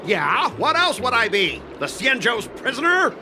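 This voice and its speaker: A man's voice: very high voice, sing-song, moderate pace. A man voicing a pompous, whiny, bullying customer in a video game, haughty, sarcastic and theatrically indignant. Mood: scornful. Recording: music under the dialogue.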